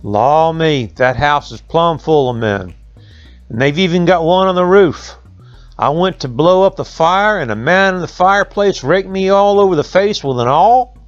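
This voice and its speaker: A man playing a battered, panicky backwoods highway robber telling his woes in a storybook tale. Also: expressive, normal speed, no echo, highs cut off, soft background music, lightly acted. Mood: panicked, wailing.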